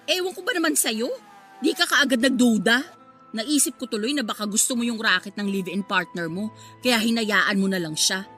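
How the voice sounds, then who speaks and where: A woman acts out a brazen, spiteful other woman with a high, forceful, bright Filipino voice. Her delivery is sassy and taunting radio melodrama, with faint music underneath. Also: normal speed, lightly acted. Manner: sassy, goading, insolent. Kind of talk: Filipino radio drama acting. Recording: faint music bed behind the voice.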